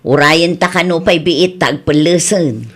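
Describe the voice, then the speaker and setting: A woman's voice: deep voice, unhurried. A woman acting in an Ilocano comedy radio drama as an exasperated older female cousin who scolds latecomers, with an Ilocano accent. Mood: firm, annoyed.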